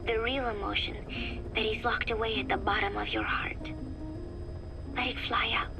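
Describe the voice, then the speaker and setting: Casting: wistful young female phantom for a game diary monologue; high voice, careful formal diction, music beneath. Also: level tone, moderate pace, gravelly, poor audio. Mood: tender, resigned. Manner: careful, formal phrasing with few contractions. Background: music under the voice.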